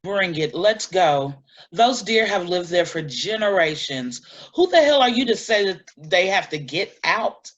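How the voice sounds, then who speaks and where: An actress playing a defiant, principled animal-rights activist in a staged play reading, blunt and combative. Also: female voice, on the low side, expressive, measured pace. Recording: dull lo-fi sound.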